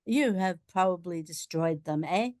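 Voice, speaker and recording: A woman playing a shifty gentleman fixer with formal, ingratiating politeness in a radio play. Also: fairly low pitch, a little slow, dry recording, clean studio sound, natural voice.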